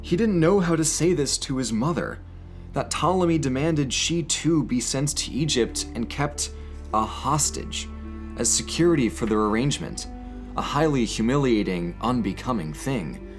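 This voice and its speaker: A man hosting and reading poetry, moving between casual commentary and measured, theatrical literary delivery. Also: medium pitch, animated, over music. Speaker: host of an educational channel.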